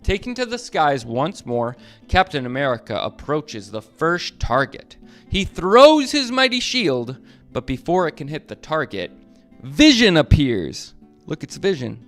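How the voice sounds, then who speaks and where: Animated dad reading a kids' story. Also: male voice, fairly high pitch, measured pace, soft background music. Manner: animated, with sound effects. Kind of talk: children's read-aloud.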